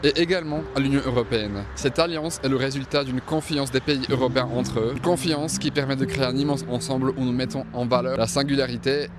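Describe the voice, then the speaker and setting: A man's voice: mid-range voice, fairly even intonation, noisy background. Man giving a short outdoor speech. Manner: measured, hesitant with fillers. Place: outdoors by a bus station. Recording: music behind.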